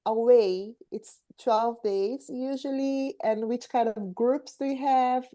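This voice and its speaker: Prompting high-voiced woman host. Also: steady tone, slow pace, warm tone. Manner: friendly, prompting others to speak. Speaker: teacher and class host. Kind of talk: casual online class chat.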